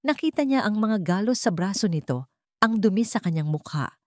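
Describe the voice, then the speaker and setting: A woman's voice: medium pitch, lively intonation, normal speed, dry recording, studio quality. A woman reads a scripted dramatic story in a solemn, suspenseful, emotive manner.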